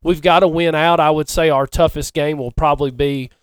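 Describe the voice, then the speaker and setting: A man co-hosting a casual sports chat, speaking haltingly and often repeating himself. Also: fairly high pitch, fairly even intonation, smooth, dry recording. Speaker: podcast co-host.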